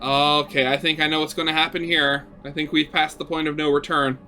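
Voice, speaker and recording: A man's voice: fairly high pitch, little pitch movement, fairly quick, pushed and bright, faint music behind. A man reading a visual novel aloud as a gaming video host, with casual, rambling commentary.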